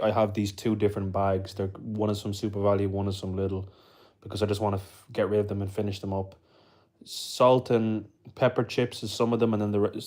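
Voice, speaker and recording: Young male streamer, twenties, UK-raised; low, flat, rambling chat to viewers. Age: 20s. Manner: chatty, rambling, answers viewers' comments. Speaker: livestreamer. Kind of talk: casual live-stream chat. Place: home indoors. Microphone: phone.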